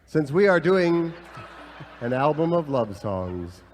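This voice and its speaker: A man's voice: a little high, very animated, slow pace, slight background noise. A man playing a genial singing cowboy, acting as straight man and host in a western radio comedy. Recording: reverberant hall, faint music.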